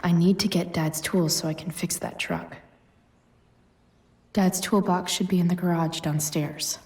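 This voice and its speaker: Creaky, sardonic teenage girl. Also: fairly low pitch, fairly even intonation, unhurried, darkish tone, far from the mic. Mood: muttering, resolved. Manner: muttering inner monologue, dry. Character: sardonic, moody teenage girl in a drama game. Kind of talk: video game voice acting, inner thoughts.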